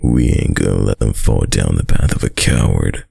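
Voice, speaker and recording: A man playing a dominant, doting young mafia heir in an intimate boyfriend roleplay, with a very deep, gravelly voice and a slow, tough-guy delivery. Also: warm tone, no room sound, studio quality, heavily acted. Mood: earnest, devoted. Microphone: close, dry microphone.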